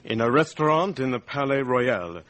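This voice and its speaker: A cornered playboy suspect played by a man answers in a medium-pitched voice. He is guarded and terse, defiant but evasive, in the manner of a radio drama. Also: lively intonation, moderate pace, a bit bright, natural voice.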